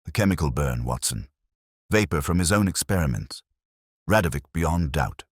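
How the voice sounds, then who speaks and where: A man voicing a precise, commanding, analytical detective in an audio story, speaking in clipped, deliberate phrases. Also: extremely low pitch, expressive, breathy edge, no room sound, clean studio sound, heavily acted. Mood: grave, intent.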